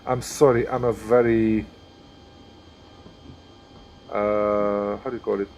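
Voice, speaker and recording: A man's voice: lowish voice, level tone, slow pace, a little mellow, a little hiss or hum. A man with a Hungarian accent, streaming live and commenting casually as he thinks aloud through each step. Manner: chatty, thinks aloud while working through steps. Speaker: flight sim streamer.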